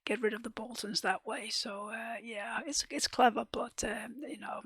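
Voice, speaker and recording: A woman reviewing a TV episode in casual chat, losing her voice, often clearing her throat and hesitating with ums. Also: fairly high pitch, steady tone, normal speed, no room sound. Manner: hesitant, many ums and restarts, soft half-whispered delivery. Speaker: TV reaction and review host.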